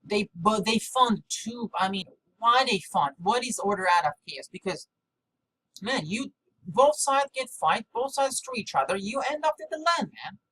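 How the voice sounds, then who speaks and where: A non-native English speaker giving a rambling, unscripted monologue in a halting, fragmented and repetitive way, jumping from thought to thought. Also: mid-range voice, animated, pushed and bright. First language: not English. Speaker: video maker. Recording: clearly noisy background.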